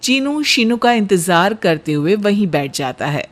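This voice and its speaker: A woman's voice: medium pitch, sing-song, measured pace. A woman narrating a scripted cartoon story as a storyteller.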